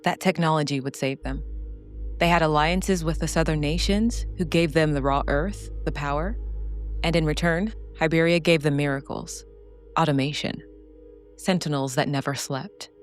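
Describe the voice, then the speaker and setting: A woman giving scripted, dramatic narration as a storyteller, solemn and measured in short phrases. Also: low-pitched, faint music behind. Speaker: narrator and solo game player.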